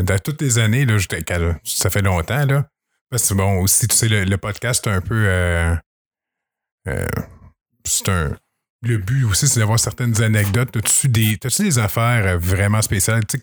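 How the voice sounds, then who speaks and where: A man with a Québécois accent hosting a podcast, talking in a relaxed, conversational way. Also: on the low side, normal speed, no room sound, clean studio sound. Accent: Québécois French. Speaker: podcast host. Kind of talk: casual podcast chat.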